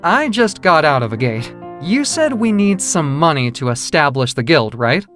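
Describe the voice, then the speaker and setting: An actor playing a cool, self-assured young hunter hero, terse and brooding, in an anime-style dub. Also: male voice, a little high, very animated, moderate pace, a bit bright, faint music behind. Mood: casual.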